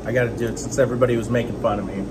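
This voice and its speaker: A man's voice: mid-range voice, fairly even intonation, very fast, background noise. A man in his thirties chatting casually and agreeably about food at a restaurant table, recorded on a phone. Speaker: co-host filming. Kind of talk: casual chat. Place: small restaurant dining room, indoors. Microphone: phone camera at arm's length.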